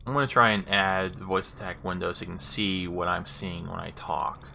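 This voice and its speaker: Bright-voiced man demonstrating game voice commands. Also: deep voice, steady tone, moderate pace, vocal fry. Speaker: gamer demonstrating a voice assistant. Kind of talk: spoken voice commands with casual commentary.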